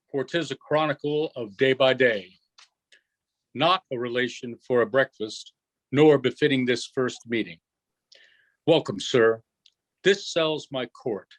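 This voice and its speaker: A man reading the part of a commanding, forgiving yet stern duke and magician in an unrehearsed Shakespeare play, in a measured, declamatory way. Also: medium pitch, natural voice, low-quality recording.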